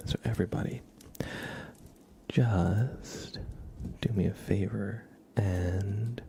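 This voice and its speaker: A man hosting an ASMR livestream in a gentle, hushed voice that often drops into a whisper, close to the microphone. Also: fairly low pitch, steady tone, takes it slowly, creaky, noisy background. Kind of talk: ASMR, soft-spoken and whispered livestream chat.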